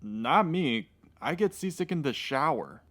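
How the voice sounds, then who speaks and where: A hungover suspect in a radio comedy. He talks slowly and sluggishly at a middling male pitch, using few words and sounding slow-witted. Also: animated, a bit bright, slight background noise. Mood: laconic. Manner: sluggish, laconic. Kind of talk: comedy radio drama acting.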